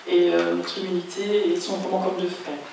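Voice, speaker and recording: A woman's voice: fairly low pitch, level tone, brisk, vocal fry, a little mellow, a little hiss or hum. Hesitant woman interpreter, echoey hall. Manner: hesitant, many fillers and self-corrections. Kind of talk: consecutive interpreting. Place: lecture hall at a business school. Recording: echoey lecture hall from far off.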